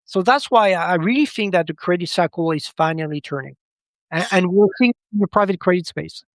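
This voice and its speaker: A man with a slightly high voice talks as a guest analyst in an unscripted interview. He moves haltingly, doubling words and restarting phrases. The sound is dry, with very little room in it. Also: dry recording. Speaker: podcast guest, credit market analyst.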